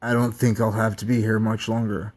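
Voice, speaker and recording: A man voicing an unsettled male test subject who talks slowly and quietly in a dreamy, rambling way. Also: fairly low pitch, little pitch movement, natural voice.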